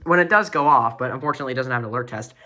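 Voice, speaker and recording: A man's voice: mid-range voice, very fast, crowd noise. A man giving a casual show-and-tell tour of a radio collection as an enthusiastic hobbyist.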